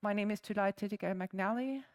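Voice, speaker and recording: A woman in her forties with a German accent presents at a conference to a live audience. She talks in a friendly, chatty way and pads her sentences with ums and uhs. Her voice is smooth and clear, at a middling pitch. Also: fairly quick. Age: 40s. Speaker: conference speaker.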